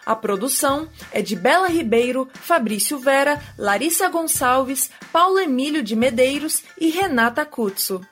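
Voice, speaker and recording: A woman presenting a radio program, reading a scripted introduction in a clear announcer delivery. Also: high voice, lively intonation, moderate pace, over music.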